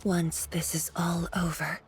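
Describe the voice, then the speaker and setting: A woman voicing a calm, dignified, prudent commander in a video game, speaking formally and with measure. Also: on the low side, slow, faint music behind. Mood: solemn, resolute.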